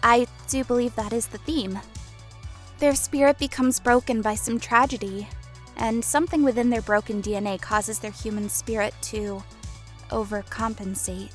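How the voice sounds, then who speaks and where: A woman's voice: a little high, fairly even intonation, normal speed, faint music behind, lightly acted. A woman playing a sweet, naive, eager young furred female alien in audio fiction, girlish and sing-song. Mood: earnest. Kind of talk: audio fiction character acting.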